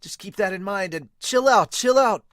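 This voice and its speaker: A man voicing an excitable young male volleyball player in a manga dub, loud, urgent and exclamatory. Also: high voice, normal speed, dry recording, studio quality, voice pushed up. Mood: excited, shouting.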